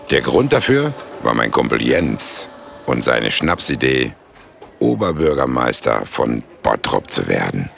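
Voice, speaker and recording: Very deep, gravelly male narrator. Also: fairly bright tone, slight background noise, heavily acted. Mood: matter-of-fact. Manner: wry, blunt, slangy. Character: laid-back working-class buddy narrating, wry and blunt. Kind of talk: colloquial radio drama narration.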